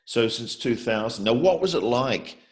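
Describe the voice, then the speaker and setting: A man's voice: medium pitch, lively intonation, moderate pace. A man in his fifties hosting an interview over a video call, chatty and rambling with frequent fillers and restarts. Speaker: host.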